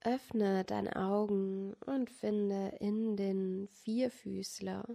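A woman guiding a yoga meditation as a teacher, speaking softly and calmly with long pauses between instructions. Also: medium pitch, creaky. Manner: soft, calm, soothing, with long pauses. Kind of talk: guided yoga nidra meditation.

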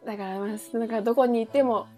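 A woman co-hosting a podcast in casual, slangy chat with animated reactions. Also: a little high, fast. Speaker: podcast co-host. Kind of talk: casual chat between friends. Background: background music.

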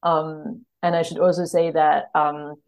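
A woman's voice: low-pitched, little pitch movement, measured pace, darkish tone. A woman chatting as an interview guest about books, thoughtful and tentative, often correcting herself as she goes. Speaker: podcast guest, literary translator.

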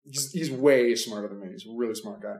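A man chatting casually, his speech loose and full of filler words. His mid-pitched voice rings a little in an echoey room. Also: fairly quick. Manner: relaxed, lots of "like" fillers. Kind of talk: casual conversation.

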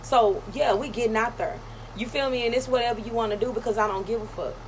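A woman's voice: on the high side, fast talker. Light-voiced woman, blunt and emphatic. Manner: blunt, emphatic, streetwise slang. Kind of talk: casual group chat. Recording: noisy background.

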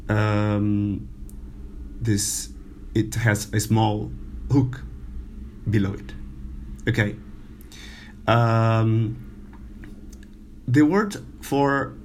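A man who is not a native English speaker giving an informal language lesson, halting and full of fillers, repeating words for the learner. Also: mid-range voice, a little mellow, a little hiss or hum. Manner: slow, halting, many fillers and repetitions. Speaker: language teacher.

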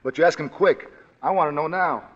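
An actor playing a big, blunt, menacing male thug with gruff ungrammatical talk in a 1940s radio drama, on a dull old broadcast recording. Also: a little high, brisk, in a large hall, a little hiss or hum, lightly acted.

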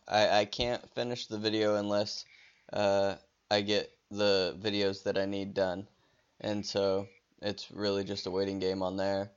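A man's voice: on the low side, clear, resonant tone, dull audio. A man hosting a casual solo podcast, rambling with many restarts and fillers while he is losing his voice. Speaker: podcast host.

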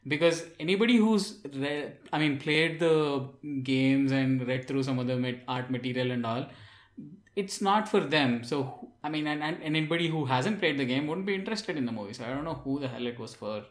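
A man's voice: medium pitch, brisk. A man co-hosting a casual chat in a blunt, opinionated way. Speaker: podcast co-host. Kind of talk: casual chat about films and games. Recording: roomy, echoing voice pickup.

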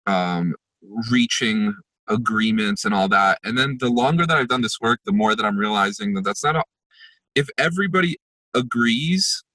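A man's voice: on the low side, pushed and bright, dry recording, low-quality recording. A young man, a workshop facilitator, talking reflectively in an interview with many fillers and restarts. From born in Israel, raised in New Jersey and New York. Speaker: empathy workshop facilitator, podcast guest. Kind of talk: conversational interview.

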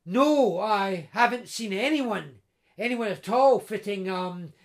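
A woman's voice: medium pitch, expressive, takes it slowly. A woman voicing a timid poor young man who stammers and hesitates. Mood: nervous.

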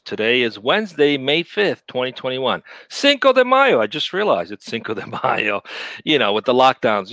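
A man's voice: a little high, fairly bright tone, no echo. A man hosting a live web show over a video call, chatty and upbeat, laughing easily between remarks. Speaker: show host.

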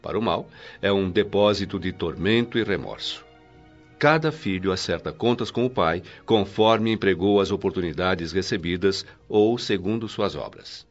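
A Brazilian actor playing a wise, patient spiritual mentor in a radio drama, teaching in a measured, formal and solemn way. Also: male voice, sits low, dull audio, soft background music. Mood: solemn, instructive.

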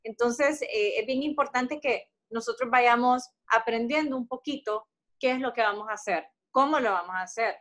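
Earnest Honduran woman, higher voice. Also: moderate pace, fairly bright tone. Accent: Honduran Spanish. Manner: earnest, explains step by step, gives advice. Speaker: tea business owner. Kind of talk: interview answers.

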